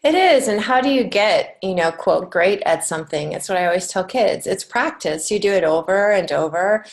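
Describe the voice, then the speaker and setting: An American woman speaking as a podcast guest in a conversational way, earnest and encouraging, pausing to reflect as she goes. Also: medium pitch, lively intonation. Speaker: guest, children's book author and writing teacher.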